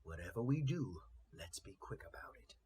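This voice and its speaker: A man voicing a practical, hungry farm hound in a storybook, muttering briskly. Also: on the low side, big pitch swings, mellow, a little hiss or hum, pitched below the performer's own voice. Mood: hungry, urgent.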